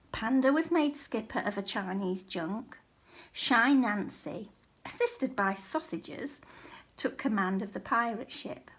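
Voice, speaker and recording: Unhurried woman reading a storybook aloud. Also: mid-range voice. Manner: measured, expressive storytelling. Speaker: teacher reading a picture book to children. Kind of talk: storybook read-aloud, scripted narration.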